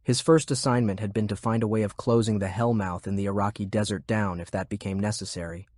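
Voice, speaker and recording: A man reading scripted fiction narration in a measured, deliberate way. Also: lowish voice, fairly even intonation, darkish tone, dry recording, clean studio sound. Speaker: audiobook narrator. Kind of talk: scripted narration of a fantasy war novel.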